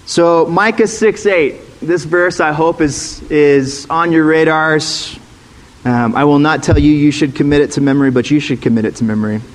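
Slightly high-voiced man preaching conversationally. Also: normal speed, low-quality recording. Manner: conversational teaching, asks the room questions. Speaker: former pastor, guest speaker. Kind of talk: sermon.

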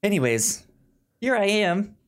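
A man hosting a podcast in casual chat, with a halting delivery full of stammered restarts. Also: sits high, sing-song. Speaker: podcast host. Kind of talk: casual chat about comics and film.

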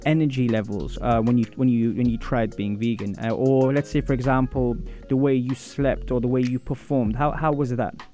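A man's voice: mid-range voice, steady tone, normal speed, few pauses, warm tone, faint music behind. A man hosting a radio show, interviewing a guest in a friendly, casual way with frequent short replies. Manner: friendly, encouraging, casual, many backchannels.

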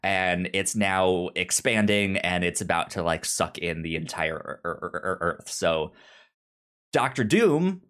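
A podcast host reviewing a book in casual chat, speaking haltingly and often stammering over repeated syllables. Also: low-pitched, animated, a bit bright, clear, resonant tone.

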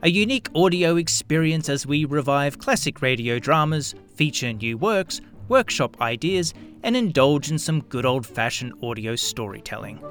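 A man hosting and narrating a children's story in a theatrical, expressive, deliberate storyteller style. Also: on the high side, soft background music. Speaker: host and narrator of a radio play show.

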